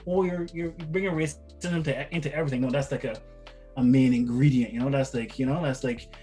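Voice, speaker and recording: A man's voice: medium pitch, darkish tone. A middle-aged man from Barbados giving casual, rambling interview answers, repeating words and often checking with "right?". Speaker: interview guest, father of the other guest.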